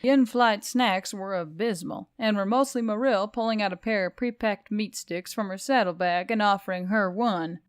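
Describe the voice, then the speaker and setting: A woman narrates fiction in an audiobook style. Her voice sits at a middle pitch, and the recording is very dry, with little room sound. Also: moderate pace, hardly any pauses, smooth, dry recording.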